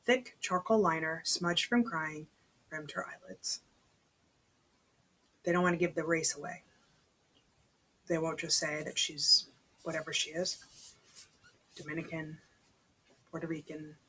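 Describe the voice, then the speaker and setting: Chatty woman reading a novel aloud, slightly husky. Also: low voice, fairly even intonation, a bit bright, rough recording. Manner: informal, conversational, pausing to comment to the listener. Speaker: amateur read-aloud reader.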